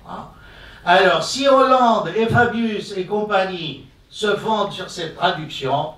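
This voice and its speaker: A man's voice: high-pitched, fairly bright tone. A man giving a lecture at a colloquium as a professor, talking to the room with frequent asides and hesitations. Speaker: conference speaker, professor. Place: conference hall. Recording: echoey hall from a distance.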